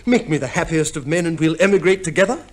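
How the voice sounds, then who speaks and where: An actor playing a vain, pompous, cheerful husband back from war in a 1950s radio comedy, speaking with theatrical mock courtesy. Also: male voice, on the high side, fast, lightly acted. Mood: grandly persuasive. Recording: noisy vintage radio play recording.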